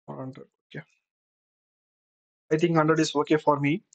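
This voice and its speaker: A software tutor giving an unscripted screen-recorded tutorial, explaining step by step while thinking aloud. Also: mid-range voice, little pitch movement, moderate pace, vocal fry.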